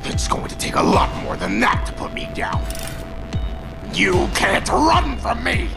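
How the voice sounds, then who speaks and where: Gravelly, vengeful mad scientist villain. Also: male voice, high voice, sing-song, measured pace, over music, full character voice. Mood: menacing. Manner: theatrical, menacing, formal diction. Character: vengeful, crazed male scientist supervillain, formal and bitter. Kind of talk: audio drama villain acting.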